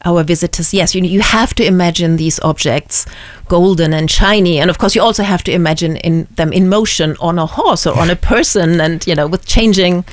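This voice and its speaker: A woman's voice: on the low side, fairly quick, no echo. A woman with a German accent, a museum curator who answers interview questions thoughtfully, with many ums and restarts.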